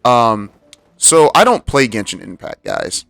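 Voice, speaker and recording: A man giving casual live commentary on a game in a slangy, joking way, with a gravelly voice. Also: mid-range voice, big pitch swings, a little slow, a bit bright, no room sound, soft background music. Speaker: game streamer.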